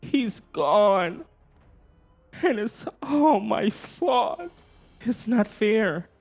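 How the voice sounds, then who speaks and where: A man's voice: high voice, big pitch swings, drawn-out words, vocal fry, faint music behind, pitched above the performer's own voice, full character voice. A man voicing an earnest, remorseful father in a children's storybook, with a plaintive, emotional delivery. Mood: sad, regretful. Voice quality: raised, plaintive tone. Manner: pleading, emotional.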